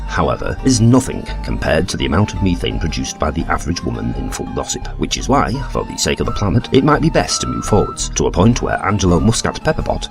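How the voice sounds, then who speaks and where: A man playing a wry, pompous narrator of a comedy audio drama, lecturing in deadpan documentary style. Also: low-pitched, gravelly, full character voice.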